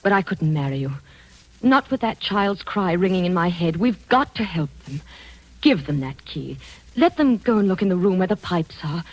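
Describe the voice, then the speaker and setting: A woman's voice: fairly low pitch, sing-song, moderate pace, low-quality recording. An actress playing a tender, anxious lady in an old-time radio drama, theatrical and earnest. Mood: earnest, pleading. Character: anxious, tender lady who grows fearful and insistent. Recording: vintage radio drama recording.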